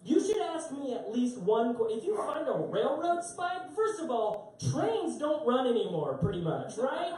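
A young male comic on a small dim club stage tells loose, self-mocking stories and laughs at his own jokes. His voice is soft and mellow. It comes through a handheld mic, caught by a camera far away in a very echoey room with faint room noise. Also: very high voice, sing-song, unhurried, hardly any pauses. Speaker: stand-up comedian. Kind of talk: live stand-up storytelling. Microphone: handheld mic, heard through a far camera. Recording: roomy echo, distant live capture.

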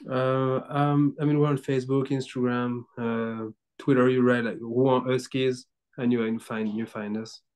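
A man's voice: medium pitch, level tone, measured pace, a little mellow, clean tone. A man in his thirties with a French accent, a French native speaker, answering questions on a video call, hesitant and searching for words. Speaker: guest, baseball player. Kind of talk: casual video-call interview. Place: small indoor room.

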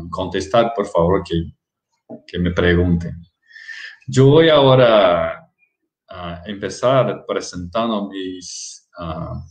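A middle-aged man from Brazil, a native Portuguese speaker, giving an online lecture in careful, halting speech full of restarts, heard over a video call. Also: low voice. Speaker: guest lecturer. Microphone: webcam on a video call. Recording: roomy video call audio.

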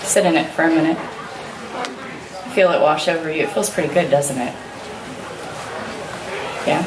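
A woman in her thirties performing a spoken word poem on stage, earnest and measured, speaking into a stand mic. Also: sits low, noisy background. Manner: measured, earnest delivery with rhetorical questions to the audience. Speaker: slam poet. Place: stage in a large hall. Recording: echoey hall, taken from far off.

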